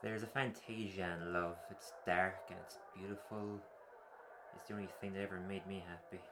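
A man's voice: deep voice, little pitch movement, normal speed, fairly bright tone, a little hiss or hum. A man acting an earnest, lonely superhero's friend who clings to hope, melancholy and dramatic in a darkly comic radio play. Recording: music clearly playing under the voice.

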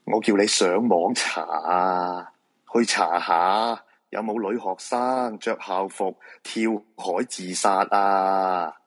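An actor with a Hong Kong Cantonese accent playing a reluctant, brusque feng shui fortune-teller in a radio drama. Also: male voice, low voice, slow pace. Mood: exasperated refusal. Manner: brusque, protesting, dismissive.

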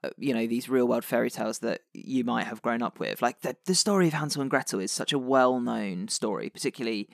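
A man's voice: mid-range voice, fast, creaky, hardly any pauses, no room sound, studio quality. A man from England with an English accent, talking casually as a podcast host discussing tabletop games.

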